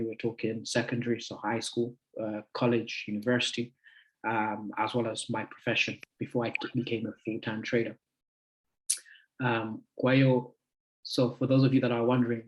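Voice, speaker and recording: Guest speaker, 20s male, easygoing, halting delivery, middle pitch. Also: little pitch movement, lo-fi sound. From United Kingdom. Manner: friendly, chatty, halting with many fillers. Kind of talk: casual talk to an online group.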